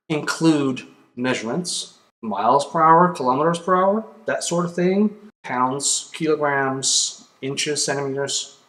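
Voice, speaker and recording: A man's voice: fairly high pitch, low-quality recording. A man in his thirties from Denmark with a Danish accent, explaining a topic casually to camera in a home office. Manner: relaxed, conversational, with fillers and pauses. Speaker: video host. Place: small home office with a computer. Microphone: webcam or desk camera, close framing.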